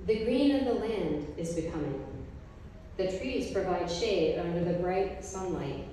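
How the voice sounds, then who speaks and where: A middle-aged woman reading a group poem aloud from paper pages at a gallery event, in a slow, measured recitation. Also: fairly low pitch, lively intonation, mellow, distant mic, slight background noise. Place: art gallery, indoors, mid-sized room. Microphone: handheld mic, filmed from a distance. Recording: echoey gallery room, from afar.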